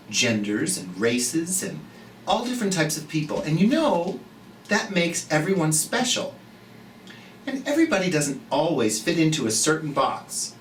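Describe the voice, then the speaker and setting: A storyteller of unclear gender hosting a children's storytime, theatrical and expressive, speaking gently and encouragingly straight to young viewers with drawn-out emphasis. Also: mid-range voice. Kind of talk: animated children's read-aloud and gentle chat to young viewers. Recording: roomy echo, distant mic, light noise.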